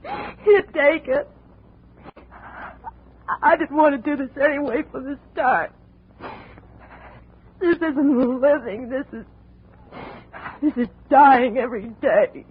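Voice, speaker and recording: A tough, biting gangster's moll with a fairly high voice. She snaps her lines with hard-edged attitude. It comes through a tinny, hissy old radio recording. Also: slow pace, long pauses. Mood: broken. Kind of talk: 1950s radio drama acting.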